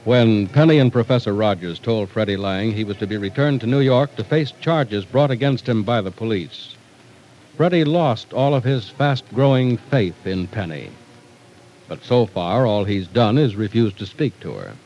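A man's voice: lowish voice, lively intonation, normal speed, a little mellow, slight background noise, rough recording. A man announcing in scripted 1940s radio style, with emphatic, polished delivery for sponsor copy and narration.

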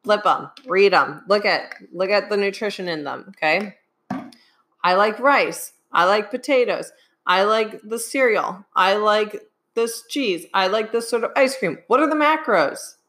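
Hoarse woman, coach asking "okay?". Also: mid-range voice, measured pace, fairly bright tone. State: hoarse, getting over a sinus infection. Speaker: fitness coach. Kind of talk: live-streamed advice talk.

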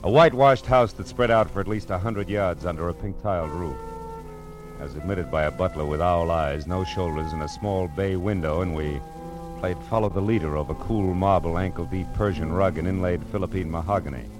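A man's voice: low-pitched, fairly quick, natural voice. A man with an American accent playing a tough, wry private detective in a 1940s radio crime drama, clipped and hard-boiled. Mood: sardonic narration. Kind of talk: 1940s radio crime drama acting, first-person narration. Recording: vintage radio recording with music behind.

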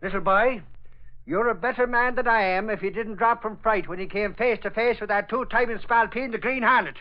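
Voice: mid-range voice, brisk, lightly acted. An actor playing a gullible, easily astonished Irish policeman with an Irish accent and folksy exclamations in a 1940s radio drama. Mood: amazed, puzzled. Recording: dull vintage 1940s radio broadcast.

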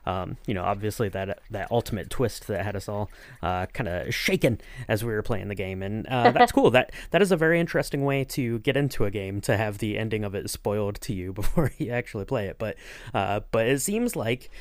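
A man's voice: fairly low pitch, expressive, fairly quick, dry recording. Casual male podcast host, dry close sound. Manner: rambling, informal, often saying you know.

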